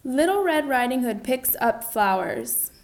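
Slow woman narrator for learners. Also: high-pitched, sing-song. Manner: slow, deliberate storytelling.

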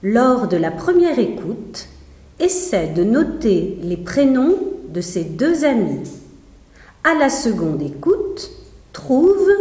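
Female lesson presenter, very slow and deliberate, animated intonation, noticeably echoey recording. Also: mid-range voice. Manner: deliberate, instructing. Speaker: language teacher, lesson presenter. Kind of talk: scripted instructional narration. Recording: roomy, echoing voice recording.

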